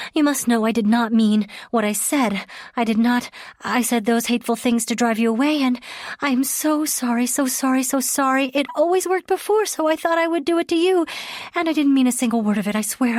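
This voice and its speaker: A woman's voice: on the high side, normal speed, darkish tone, dry recording, studio quality, pitched above the performer's own voice, lightly acted. A woman reading an audiobook, voicing a distraught young woman who pleads and repeats herself breathlessly. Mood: frantic pleading. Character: frantic distraught young woman, shaken and remorseful.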